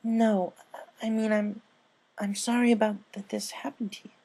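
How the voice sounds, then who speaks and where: A man puts on a little pegasus filly from a storybook, pitched far up. The youngster is earnest and nervous, with halting, stammering speech. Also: flat delivery, a little mellow. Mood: apologetic, anxious. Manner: hesitant, stammering.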